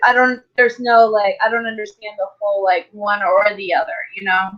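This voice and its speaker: A woman's voice: mid-range voice, flat delivery, normal speed. A woman in a casual panel discussion, explaining her points a bit tentatively over dull, lo-fi call audio. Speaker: talk show panelist.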